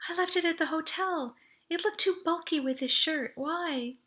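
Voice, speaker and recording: A woman voicing an anxious, excitable, panicky girl in an audiobook story, breathy and full of questions. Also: very high-pitched, normal speed, pitched above the performer's own voice. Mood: puzzled, casual. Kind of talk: audiobook character voice.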